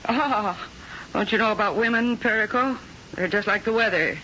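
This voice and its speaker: An actress playing a world-weary, middle-aged film star who feigns cruel indifference, in theatrical 1970s radio drama style. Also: female voice, medium pitch, moderate pace, pushed and bright, a little hiss or hum, natural voice. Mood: breezily cruel. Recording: muffled 1970s radio broadcast with music.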